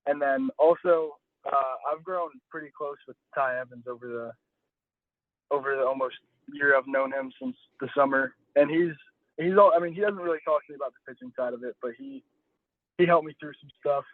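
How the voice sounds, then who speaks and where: A man's voice: fairly high pitch, fairly quick, no echo. Young man on a dull phone line. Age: young adult. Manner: hedging, self-correcting, restating phrases. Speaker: college baseball pitcher being interviewed. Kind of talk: casual phone interview. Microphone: phone call. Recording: dull, lo-fi phone call audio.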